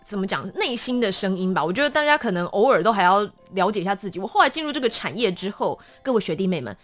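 A woman with a Taiwanese Mandarin accent, telling stories as a guest in a conversational interview with a dramatic, animated delivery. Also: medium pitch, quick pace, soft background music. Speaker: voice actor guest.

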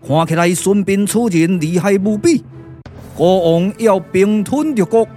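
Pompous, slow-speaking ancient king. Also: high voice, mellow, faint music behind. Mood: worried. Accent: Taiwanese. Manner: stately, pompous, measured declamation. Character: ambitious, demanding male king of ancient Qin. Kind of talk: Taiwanese glove-puppet radio drama acting.

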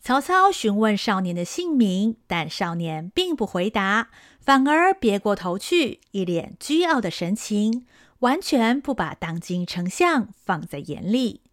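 A woman narrating a historical radio drama in an expressive storytelling manner. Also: mid-range voice, unhurried, no room sound, clean studio sound.

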